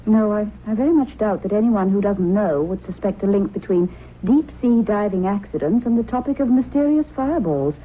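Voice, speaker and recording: A woman plays a poised, sensible scriptwriter wife in an old radio drama. She is polite, direct and inquisitive at a medium pitch. The sound is thin and tinny with light noise. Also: lively intonation, brisk, close to an everyday voice. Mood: brisk, sensible. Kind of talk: vintage radio drama acting.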